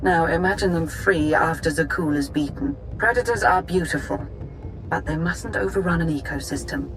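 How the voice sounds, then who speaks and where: An actress playing a cool, calculating imperial advisor in a video game, formal and deliberate in speech. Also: female voice, fairly low pitch, background noise, close to an everyday voice. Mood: cool, wary. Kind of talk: dramatic video game dialogue.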